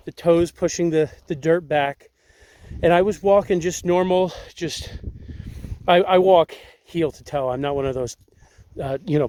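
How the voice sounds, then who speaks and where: A young man with a slightly raised pitch talks outdoors into a handheld camera. His voice is a little raspy and congested. He explains things in a relaxed, rambling way, full of fillers and restarts. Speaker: tracking instructor. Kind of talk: casual outdoor demonstration. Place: outdoors on sandy riverbank.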